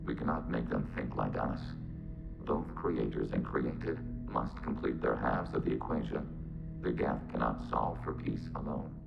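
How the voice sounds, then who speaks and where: An actor playing a calm machine being that speaks for a collective, detached, literal and formal, with robotic processing in a video game. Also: male voice, rumbling low, unhurried, creaky, heavily acted. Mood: detached, matter-of-fact. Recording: dull muffled sound under loud music.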